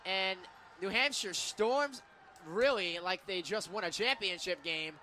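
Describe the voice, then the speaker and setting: An American man doing live football play-by-play on the radio, with a very high, bright voice. His delivery is excitable and emphatic, the classic announcer following every snap. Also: measured pace. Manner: excitable, builds to emphatic calls on big plays.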